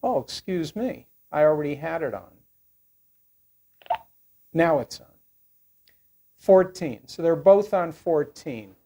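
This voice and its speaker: An older man giving a casual, unscripted product demonstration, talking in a halting, rambling, matter-of-fact way. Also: fairly high pitch, a little mellow. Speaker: product reviewer.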